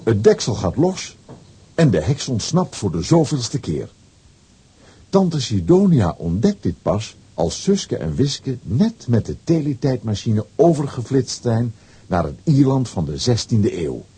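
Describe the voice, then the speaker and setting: A man narrating a scripted children's audio story with a husky voice and wry asides. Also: medium pitch, big pitch swings, measured pace, warm tone.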